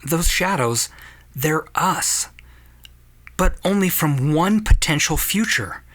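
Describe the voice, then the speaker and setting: A man's voice: on the high side, expressive, slow, fairly bright tone, voice pushed up, full character voice. Man acting an agitated obsessive scientist, swooping voice. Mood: urgent persuasion. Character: obsessive, defiant male scientist, feverish and stubborn. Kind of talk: audio drama acting.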